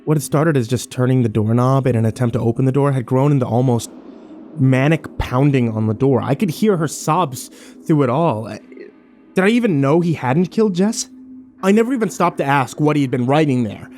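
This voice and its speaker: A man's voice: medium pitch, normal speed, a little mellow, a little hiss or hum, close to an everyday voice. A man playing a troubled, wary survivor who narrates his ordeal in a brooding first-person horror drama. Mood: doubting.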